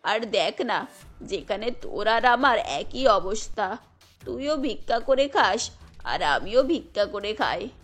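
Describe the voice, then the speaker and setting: A woman voicing a sorrowful, humble old beggar grandmother in a cartoon, with slow, weary, resigned speech. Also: mid-range voice, expressive, pitched below the performer's own voice. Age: older.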